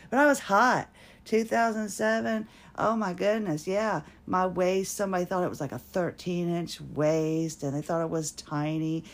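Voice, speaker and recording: Slow, gravelly woman talking candidly. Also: fairly low pitch. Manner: rambling and self-mocking, often breaking into laughter. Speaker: podcast host. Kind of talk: personal podcast monologue.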